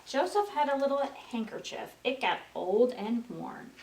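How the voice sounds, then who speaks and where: A woman's voice: high voice, very animated, normal speed, darkish tone. A woman storyteller reading aloud to young children in a sing-song way, prompting her listeners with questions. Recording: roomy, distant mic with faint noise.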